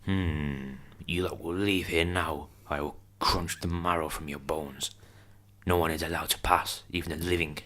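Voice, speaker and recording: Man playing a deep, forceful, menacing monster. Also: steady tone. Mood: threatening. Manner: slow, interrogating, threatening. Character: menacing young Jabberwocky monster, vengeful and threatening. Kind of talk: amateur fantasy radio drama acting.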